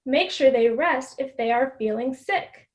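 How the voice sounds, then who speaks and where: Patient, caring picture-book mother acted by a woman, high voice, teaching tone. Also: unhurried. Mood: patient instructing. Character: caring, patient mommy teaching her daughter hygiene, storybook.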